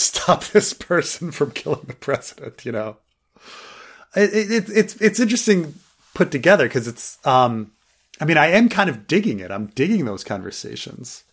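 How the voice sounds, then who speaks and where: A man's voice: medium pitch, sing-song, moderate pace. Male podcast co-host, casual chatty review, filler-heavy and meandering. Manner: rambling, many fillers and restarts; laughs while joking.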